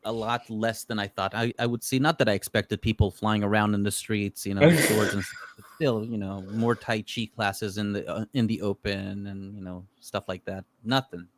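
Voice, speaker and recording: Hebrew-native man, lowish voice, relaxed rambling talker, dry close recording. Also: moderate pace, dry recording. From Israel. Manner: relaxed, rambling storyteller with frequent fillers. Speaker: guest. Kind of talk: casual chat.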